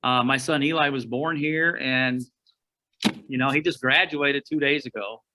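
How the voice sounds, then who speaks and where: A middle-aged man from Oklahoma, a guest outdoor writer, telling stories in a rambling, folksy way with frequent pauses and restarts over a remote call. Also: mid-range voice, fairly even intonation, bright, forceful tone, rough recording. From Oklahoma, USA. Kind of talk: casual storytelling interview.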